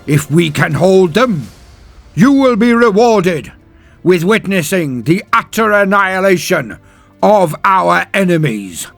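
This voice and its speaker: A man voicing a valiant space marine champion in a sci-fi audiobook, giving a solemn, rousing battle speech in a grand, drawn-out, declamatory way. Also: high voice, very animated, gravelly, a bit bright, no room sound, faint music behind, voice pushed up, heavily acted. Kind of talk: dramatic audiobook character speech.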